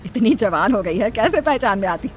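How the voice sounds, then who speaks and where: A woman voicing a worried, motherly middle-aged village aunt in a horror cartoon, speaking in an expressive, questioning way. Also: medium pitch, moderate pace, a little hiss or hum, lightly acted. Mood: concerned, curious. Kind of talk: dramatic Hindi cartoon story dialogue.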